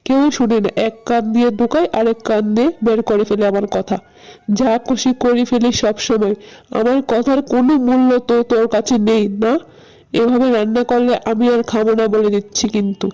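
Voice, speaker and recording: A woman's voice: a little high, steady tone, fairly quick, warm tone, close to an everyday voice, rough recording. A woman voicing a quarrelsome, fault-finding older mother-in-law in a cartoon, complaining and scolding as she talks. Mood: nagging, aggrieved. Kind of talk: cartoon voice acting.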